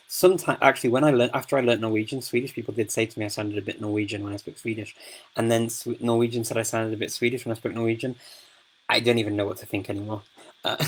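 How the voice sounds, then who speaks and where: A man's voice: fairly low pitch, poor audio. A man hosting a casual live-stream Q&A, speaking in a chatty, rambling way with many fillers and restarts. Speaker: host of a live Q&A.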